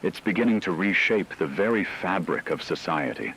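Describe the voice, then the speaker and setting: A man playing a grave radio news co-anchor giving deliberate, formal scripted commentary during an apocalypse. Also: deep voice, expressive, fairly bright tone, rough recording. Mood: grave, intent. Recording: faint rain noise behind voice.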